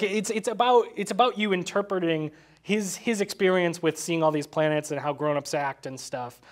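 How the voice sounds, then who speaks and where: Male, higher register, halting think-aloud delivery for an informal movie discussion. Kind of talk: casual film discussion.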